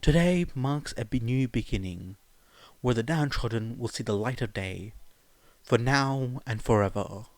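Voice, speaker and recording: A man voicing a defiant young male revolutionary leader in a fan fiction audiobook, with grandiose, drawn-out, theatrical delivery. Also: mid-range voice, animated, darkish tone, dry recording, close to an everyday voice. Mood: resolute, grandiose.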